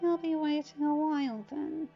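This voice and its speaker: A woman's voice: high voice, fairly even intonation, normal speed, creaky, faint music behind, voice pushed up. A woman voicing a withdrawn, upset teenage boy in an audiobook, sounding strained and sulky. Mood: upset, annoyed. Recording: dull lo-fi recording.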